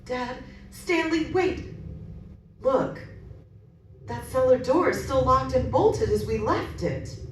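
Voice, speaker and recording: A woman's voice: sits high, expressive, slow pace, pauses often. A woman playing a frightened, pleading daughter with theatrical dread in an old-time radio drama. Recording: very echoey room, distant, background noise.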